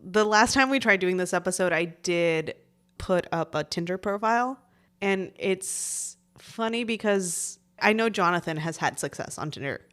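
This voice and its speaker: Lower-voiced woman in her forties. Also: normal speed, fairly bright tone. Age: 40s. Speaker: podcast co-host. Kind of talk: casual conversational banter.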